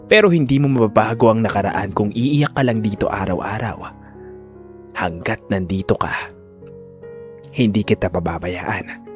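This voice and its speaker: A man's voice: lowish voice, natural voice. A man voicing a caring fifty-year-old uncle in an audio drama, earnest, firm and fatherly.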